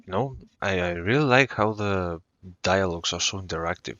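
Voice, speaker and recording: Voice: low-pitched, moderate pace, fairly bright tone, no room sound, lo-fi sound. A gamer giving casual commentary and reactions while playing through a story quest.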